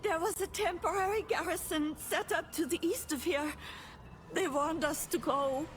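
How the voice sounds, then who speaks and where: A woman's voice: extremely high pitch, a little hiss or hum. A woman playing a distraught, pleading, newly widowed farm mother in a video game, speaking through tears. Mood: grieving. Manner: tearful, pleading, slow emotional delivery. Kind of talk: video game dramatic acting.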